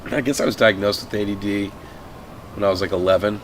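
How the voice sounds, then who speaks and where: A man's voice: fairly low pitch, steady tone, a little hiss or hum. A man hesitantly introducing himself in a casual group chat. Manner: hesitant, slow.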